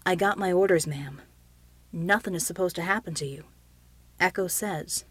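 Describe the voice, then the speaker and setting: A woman's voice: lowish voice, normal speed, husky. A woman voicing a protective, firm military man in an audiobook story. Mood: insistent. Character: steady protective military male helper, quiet but commanding.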